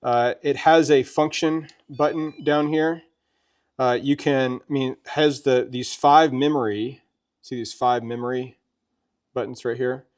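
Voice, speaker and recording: A man with a medium-pitched voice presents a product demonstration. He talks in a casual, folksy way, chatting through each step conversationally. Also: steady tone, measured pace, darkish tone. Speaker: presenter of a gear review.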